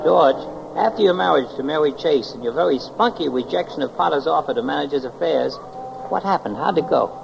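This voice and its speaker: Very creaky-voiced curious guardian angel. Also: male voice, fairly high pitch, expressive, a little fast, noisy background. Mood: probing, sympathetic. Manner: gently prodding questioner. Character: inquisitive, sympathetic male guardian angel. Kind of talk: 1940s radio drama acting. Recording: dull vintage radio recording, music behind.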